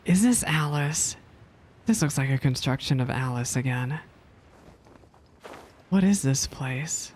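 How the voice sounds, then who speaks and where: A woman's voice: a bass voice, animated, takes it slowly, long pauses, a little mellow, slight background noise. A woman live-streaming casual game reactions, chatty, exclamatory and self-deprecating.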